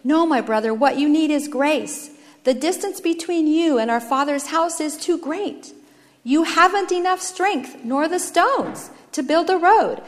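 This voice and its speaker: A woman voicing a patient, loving eldest brother, a gentle and earnest rescuer who pleads. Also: high-pitched, expressive, a little slow, big-room sound, voice pushed up. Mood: earnest, compassionate.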